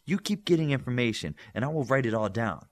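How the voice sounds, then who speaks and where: A man voicing a bold, brisk, teasing teenage girl who reads minds, in an audiobook story. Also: mid-range voice, lively intonation, lightly acted. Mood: brisk, scheming.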